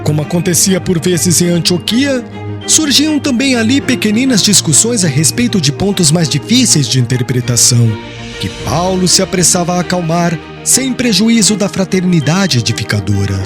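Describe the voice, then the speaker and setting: A man's voice: high voice, very animated, moderate pace. A man narrating an audiobook in a solemn, formal storytelling manner. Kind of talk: scripted literary narration. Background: music.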